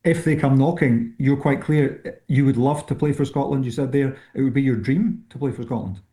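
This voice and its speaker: A man's voice: mid-range voice, moderate pace, dark tone. A man interviewing a footballer, asking direct, probing questions.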